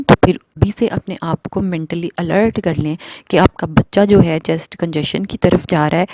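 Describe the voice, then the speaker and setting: A woman's voice: fairly low pitch, noisy background. A woman presenting baby-care advice to parents, explaining patiently step by step and mixing in English phrases. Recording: dull lo-fi dry sound.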